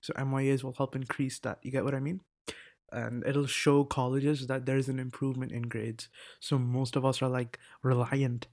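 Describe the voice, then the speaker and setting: A man's voice: medium pitch, flat delivery, normal speed, darkish tone. A teenage boy chatting casually in a rambling, self-interrupting vlog, swearing now and then, into a desk mic. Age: teens. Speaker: vlogger. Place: indoors at a laptop.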